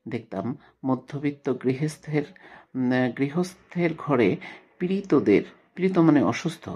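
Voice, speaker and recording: A man speaking as a teacher, reading a text aloud and explaining it with frequent hesitations and pauses. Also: mid-range voice, mellow, slightly rough, dull audio. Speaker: Bengali literature teacher for school pupils.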